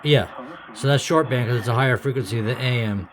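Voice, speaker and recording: A man giving a casual, unscripted demonstration as a hobbyist, thinking aloud in a halting, uncertain way. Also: medium pitch. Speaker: hobbyist vlogger. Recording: noisy with clicks and radio. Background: radio noise and clicks.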